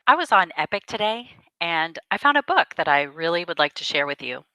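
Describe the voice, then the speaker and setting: A woman reads a picture book aloud for children. Her voice is slightly low but sweeps expressively, with an unhurried, dramatic delivery. The recording is dry. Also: big pitch swings, bright, forceful tone, dry recording, low-quality recording. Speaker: storyteller reading to children.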